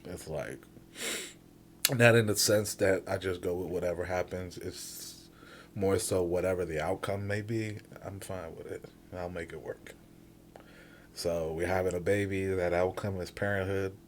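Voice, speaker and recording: A man in his thirties co-hosting a podcast, musing in a laid-back casual way that now and then breaks into loud laughing rants. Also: deep voice, fairly even intonation, a little slow, slight background noise. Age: 30s. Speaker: podcast co-host. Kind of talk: casual chat.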